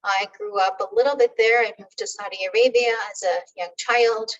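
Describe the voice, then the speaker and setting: A middle-aged woman from Turkey who works as a professor, giving a measured academic talk in a webinar with frequent "um" fillers. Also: medium pitch, steady tone, far from the mic, muffled recording.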